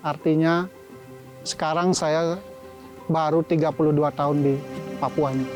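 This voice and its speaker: A police officer in his fifties from Bali, telling his story in a slow, measured interview with pauses between phrases. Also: on the high side, steady tone, over music. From Bali, Indonesia. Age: 50s. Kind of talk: interview testimony.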